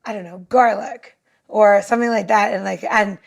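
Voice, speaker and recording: A woman's voice: medium pitch, steady tone, moderate pace, vocal fry, heavy background noise. A woman co-hosting a podcast in casual conversation, talking in an earnest, rambling way. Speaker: podcast co-host.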